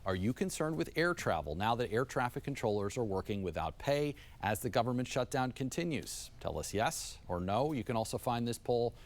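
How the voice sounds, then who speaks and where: A man's voice: medium pitch, very animated, fairly quick, few pauses. An American man presenting TV news as an anchor, with a polished, emphatic delivery.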